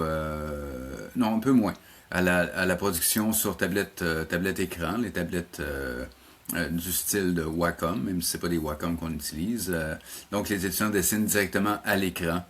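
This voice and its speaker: A middle-aged man with a low voice and a Quebec French accent answers interview questions like a teacher. He explains casually and carefully, rephrasing as he goes. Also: normal speed. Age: middle-aged or older. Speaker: interview guest, animation teacher.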